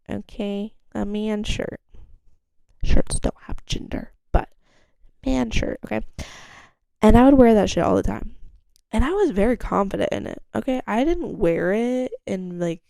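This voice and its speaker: Young woman, gravelly, mellow tone, giggly rambling chat, swears freely, dry close sound. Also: no room sound. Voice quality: gravelly low-toned voice. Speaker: podcast host. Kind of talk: casual solo chat.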